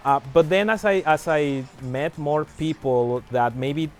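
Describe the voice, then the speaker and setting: Man, halting casual talk. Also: a little high. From Mexico. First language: Spanish. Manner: hesitant, many restarts and fillers. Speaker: livestream guest. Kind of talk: casual livestream chat.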